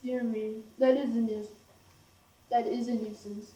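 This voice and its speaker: Teenage girl playing mellow, unruffled English statesman. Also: a little high, little pitch movement, unhurried, close to an everyday voice. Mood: unconcerned. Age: young teenager. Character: unruffled, self-important English statesman set on leisure. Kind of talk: amateur school radio play. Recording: echoey room, distant mic.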